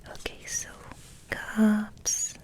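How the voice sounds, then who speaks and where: A woman giving a soft, breathy, whispered ASMR ramble as host, in a gentle, unhurried, musing way.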